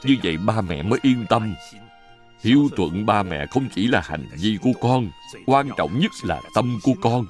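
A man playing a gentle, earnest family man in a dubbed children's cartoon. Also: on the low side, expressive, normal speed, faint music behind, close to an everyday voice. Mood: gently instructive.

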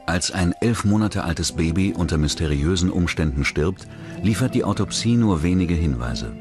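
Man, deep voice, scripted documentary narration, true-crime style, music underneath. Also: lively intonation, normal speed. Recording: narration over music bed.

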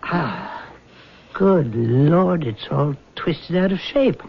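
An actor playing a measured, rational doctor in a 1970s radio drama. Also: male voice, fairly high pitch, lively intonation, gravelly, exaggerated voice. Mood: clinical. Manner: measured, clinical. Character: calm rational male doctor, middle-aged, firm. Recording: dull old radio broadcast with faint hiss.

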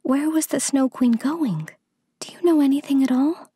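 A woman voicing a gentle, anxious little girl in a storybook, earnest and questioning. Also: high voice, normal speed, a little hiss or hum, voice pushed up, lightly acted. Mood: earnest, urgent. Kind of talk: storybook character voice.

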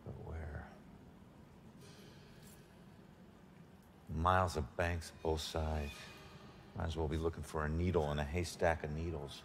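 Game casting: gruff, wry dead detective in his thirties, very low and creaky, noisy ambience behind. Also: flat delivery, moderate pace. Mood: musing. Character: gruff sardonic murdered male detective turned ghost. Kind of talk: video game cutscene acting.

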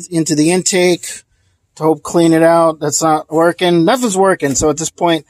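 A man's voice: a little high, darkish tone, no echo. A man casually explaining a car repair outdoors, talking into a handheld phone camera in a rambling, plainspoken way with frequent fillers. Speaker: DIY car repair vlogger. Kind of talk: casual hands-on repair walkthrough. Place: outdoors at a car engine bay.

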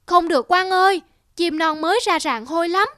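A woman's voice: extremely high pitch, moderate pace, a bit bright, no room sound, clean studio sound. A woman doing a whiny cheeky village boy. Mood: wheedling. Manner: whiny, pleading.

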